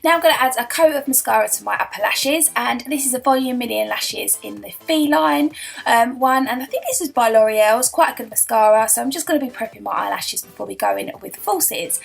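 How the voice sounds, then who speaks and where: A young woman, hoarse from a cold, chatting her way through a makeup tutorial voice-over. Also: a little high, fairly quick, soft background music. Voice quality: croaky. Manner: chatty, rambling, lots of "um" and "I'm gonna be". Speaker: beauty vlogger.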